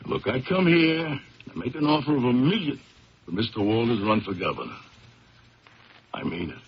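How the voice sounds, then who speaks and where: Through a dull old radio broadcast comes a rough ex-convict going straight, very husky and raspy. His streetwise, ungrammatical lines swing up and down with earnest insistence, in 1970s radio drama style. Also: medium pitch, expressive, normal speed. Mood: insistent. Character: reformed ex-convict gangster turned businessman, rough and earnest.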